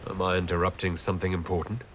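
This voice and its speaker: An elven commander in a fantasy game. The voice sits a little low, polite and earnest, with slight ambience behind it. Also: male voice, flat delivery, fast, a bit bright, a little hiss or hum. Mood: mildly amazed. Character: courteous young elven male leader of an Inquisition. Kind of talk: video game voice acting.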